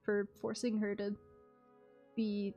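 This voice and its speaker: A woman's voice: mid-range voice, completely flat delivery, takes it slowly, mellow, soft background music. A woman streaming a video game, giving casual commentary with blunt, reactive asides.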